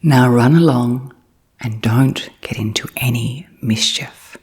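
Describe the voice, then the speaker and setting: A woman voicing a gentle old mother rabbit from a bedtime storybook, cautioning her children in a soft, motherly way. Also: a bass voice, takes it slowly, exaggerated voice. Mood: gently cautioning. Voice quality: soft, breathy. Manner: motherly, careful, gently warning.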